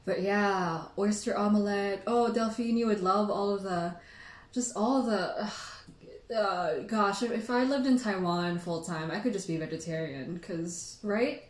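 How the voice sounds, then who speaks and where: Echoey, animated woman chatting casually. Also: medium pitch, a little slow. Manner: animated, rambling, giggly, frequent "like". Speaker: livestream host. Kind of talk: casual storytelling chat to livestream viewers. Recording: echoey room.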